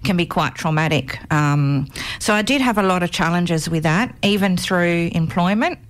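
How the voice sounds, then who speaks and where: A woman with a slightly low voice answers questions in a radio studio. She speaks earnestly and at a measured pace, often pausing on an um. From Australia. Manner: earnest, measured, frequent ums. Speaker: guest, commission chair. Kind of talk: radio interview.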